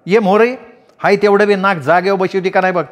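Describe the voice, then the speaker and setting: A man voicing a fierce young village wife who threatens loudly. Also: high voice, steady tone, a little fast, pitched above the performer's own voice, close to an everyday voice. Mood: threatening. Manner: threatening, loud.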